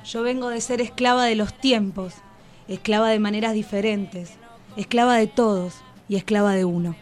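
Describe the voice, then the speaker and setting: A woman's voice: mid-range voice, fairly even intonation, moderate pace, soft background music, close to an everyday voice. An actress playing a wounded, bitter and defiant enslaved everywoman, reciting emphatically in poetic radio theatre. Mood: bitter, pained. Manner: solemn, emphatic poetic listing.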